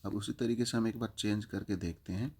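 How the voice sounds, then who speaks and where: A man's voice: on the low side, steady tone, a little fast, very noisy. A man giving an informal step-by-step app walkthrough, explaining with examples and repeating his instructions. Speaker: app tutorial presenter.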